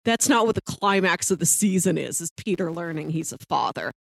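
A woman's voice: on the low side, animated, a little fast, creaky, dry recording. Woman podcast co-host, dry close sound. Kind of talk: casual TV show discussion.

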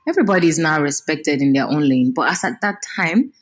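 A woman's voice: sits low, fairly quick, husky. A Nigerian woman in her thirties, a singer talking expressively and animatedly in a casual interview. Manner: expressive, animated, repeats words while thinking.

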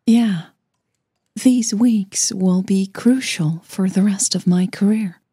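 A woman's voice: medium pitch, takes it slowly, mellow, breathy edge, no echo, clean studio sound. A woman voicing a career-minded, disappointed diva in an audio drama, answering in short, guarded replies. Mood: resigned.

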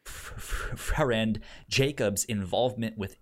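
A man with a pronounced stutter, speaking haltingly and casually as a podcast co-host pitching shows. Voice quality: pronounced stutter with repeated sounds and syllables.

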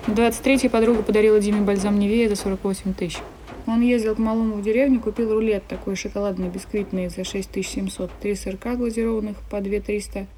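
A woman's voice: mid-range voice, steady tone, fast, warm tone, a little hiss or hum, lightly acted, poor audio. A woman, an actress, reeling off an itemised everyday monologue in an audio play.

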